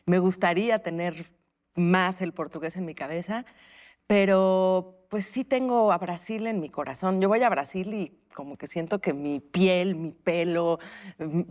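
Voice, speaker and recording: A woman's voice: fairly low pitch, moderate pace, a little mellow. A woman with a Mexican accent answering interview questions in a reflective, informal, conversational way. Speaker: interview guest, playwright and stage creator.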